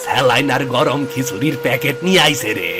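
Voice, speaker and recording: A man's voice: medium pitch, fairly quick, noisy background, lightly acted. A man playing a concerned older village uncle with a rural Bangladeshi accent in a moral story. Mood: moved, relieved.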